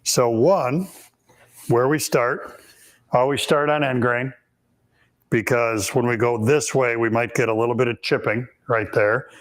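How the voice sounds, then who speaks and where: A man with a raspy, hoarse voice, hosting a live woodworking Q&A and explaining techniques step by step in a shop, with frequent ums. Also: medium pitch, fairly even intonation, a little slow. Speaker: woodworking instructor and host.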